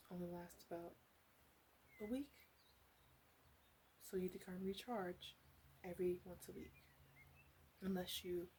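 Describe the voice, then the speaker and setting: A woman playing a flirty, blunt witch in an ASMR roleplay, speaking softly and hesitantly and often dropping into whispers. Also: on the low side, a little hiss or hum, close to an everyday voice. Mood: gentle, encouraging.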